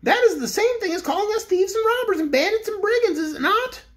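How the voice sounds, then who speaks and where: A fussy male toy bear corporal from a storybook, put on by a woman reader. He speaks very high and full of indignation, his pitch swooping as he protests. He takes every slight as an insult. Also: big pitch swings, fairly quick. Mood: indignant.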